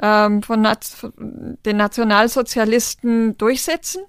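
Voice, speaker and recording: Smooth-voiced woman speaking earnestly. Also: a little high, fairly even intonation, no room sound, clean studio sound. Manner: earnest, measured, pauses with fillers. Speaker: interview guest. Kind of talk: thoughtful interview conversation.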